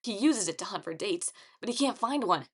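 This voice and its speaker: A woman's voice: medium pitch, expressive, brisk, husky. Casting: female narrator for a brash teenage show-off, swaggering, wisecracking audiobook hero. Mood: brash boasting. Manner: boastful, swaggering. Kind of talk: audiobook character acting.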